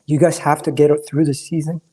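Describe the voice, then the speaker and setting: A hoarse man calling in to a fan livestream, talking candidly and rambling, with many restarts and a throat that keeps giving out. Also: a little high, level tone, fast, warm tone. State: hoarse, coughing. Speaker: caller. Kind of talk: casual chat on a fan livestream.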